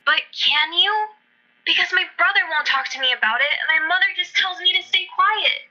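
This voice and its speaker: A woman's voice: extremely high pitch, brisk, sharp tone, exaggerated voice. A woman playing a naive, chatty, anxious little girl in an amateur radio play. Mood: earnest, worried. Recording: dull lo-fi audio.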